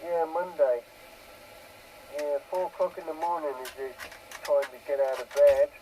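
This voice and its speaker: Male CB operator, slightly high voice, casual rambling chat, repeats himself, light noise on the transmission. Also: moderate pace, rough recording. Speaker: CB radio operator. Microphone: two-way radio microphone. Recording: CB radio transmission with background noise.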